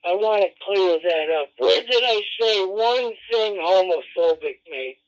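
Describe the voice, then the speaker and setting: High-pitched man arguing over muffled radio. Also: animated, a little slow. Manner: insistent, repetitive, confrontational. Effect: two-way radio transmission. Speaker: amateur radio operator. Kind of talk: unscripted argument on air. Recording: muffled lo-fi digital two-way radio.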